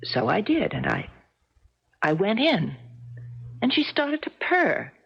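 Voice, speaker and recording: A woman's voice: medium pitch, sing-song, slow pace, vocal fry, slightly rough, heavily acted. Insistent woman, swooping 1970s radio drama. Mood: quietly urgent. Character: cat-crazy, insistent woman sheltering in a strange house. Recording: muffled, noisy 1970s radio broadcast.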